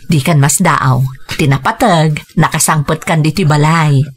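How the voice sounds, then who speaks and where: A woman playing a caring, gentle aunt in an Ilocano radio drama, with a Filipino accent. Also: sits low, measured pace, breathy edge. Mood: gentle, sincere. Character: caring, gentle aunt Tanya looking after children.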